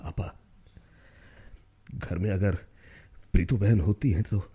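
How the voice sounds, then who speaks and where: A man's voice: deep voice, fairly even intonation, slow pace, husky, highs cut off, exaggerated voice. An actor in a Hindi radio play voicing a polite, modest younger man, a guest who speaks courteously and hesitantly, often repeating himself. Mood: grateful, polite. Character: polite, deferential younger truck-driver guest, eager and modest.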